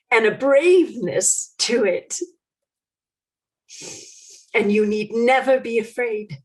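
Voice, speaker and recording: Slow, high-voiced emotional woman. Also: low-quality recording. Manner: halting, tremulous, dramatic. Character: emotional, idealistic American woman addressing refugee children. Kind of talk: 1930s radio play monologue.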